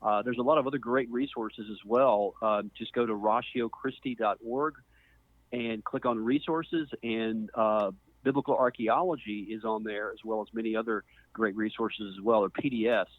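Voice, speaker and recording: A middle-aged man from Mississippi speaking as a podcast guest, explaining his background conversationally with measured pauses. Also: on the low side, steady tone, no echo. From Mississippi, US Deep South. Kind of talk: conversational account of own background. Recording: muffled phone-like narrow band.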